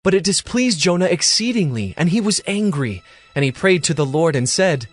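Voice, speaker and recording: A man's voice: fairly high pitch, expressive, normal speed, no room sound, faint music behind. A man giving scripted, dramatic narration for an audio Bible.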